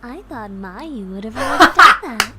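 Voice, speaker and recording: A woman's voice: a little high, lively intonation, moderate pace, very noisy, natural voice. An actress playing a cute, playful teenage girl in a voiced anime-style dating game. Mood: teasing.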